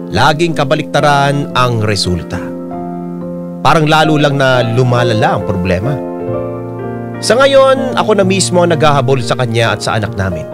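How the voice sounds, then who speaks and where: A man's voice: medium pitch, natural voice. An actor voicing a remorseful, confused husband who narrates his letter earnestly and sorrowfully, with a Filipino accent. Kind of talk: dramatic first-person letter narration. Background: loud piano and keyboard music.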